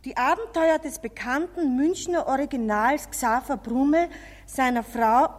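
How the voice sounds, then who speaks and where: Brief: polite young woman, high voice, long hall echo of a 1950s radio play. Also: measured pace. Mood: cheerful.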